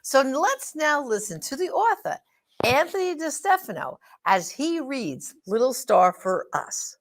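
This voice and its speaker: A woman presents as a show host, her voice on the higher side. Her pitch moves in lively swings, with a noticeable fry running through it. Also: a little slow. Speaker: host, organization director. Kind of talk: scripted show introduction to viewers.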